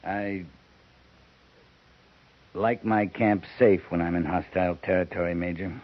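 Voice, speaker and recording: Low-voiced, terse, bitter cavalry captain, old muffled radio recording. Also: male voice, fairly even intonation, unhurried. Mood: brooding. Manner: terse, clipped, brooding. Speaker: army captain. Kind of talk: 1950s radio drama acting. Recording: muffled 1950s radio broadcast.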